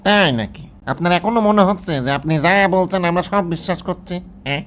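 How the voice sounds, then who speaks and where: A man's voice: high voice, fast, lightly acted. A man with a Bangladeshi accent playing a sarcastic, exasperated interviewer who scolds and mocks in a colloquial satirical phone-call sketch. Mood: incredulous. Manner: scolding, mocking, incredulous.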